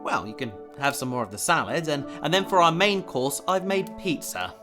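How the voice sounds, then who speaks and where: A man's voice: on the high side, big pitch swings, normal speed, over music. A man playing an earnest, determined human spacefarer in a science fiction audio drama, sincere and slightly awkward. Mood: patient, gentle.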